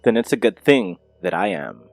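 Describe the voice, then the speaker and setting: A man's voice: on the low side, animated, normal speed, creaky, faint music behind. A man playing a sly, mysterious time traveler with a smug, theatrical delivery in an audio drama.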